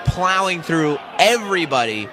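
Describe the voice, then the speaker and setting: A man calling a wrestling match as a color commentator, excitable and often shouting for emphasis. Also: on the high side, a little slow, over music, a crowd in the background, poor audio. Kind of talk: live play-by-play commentary.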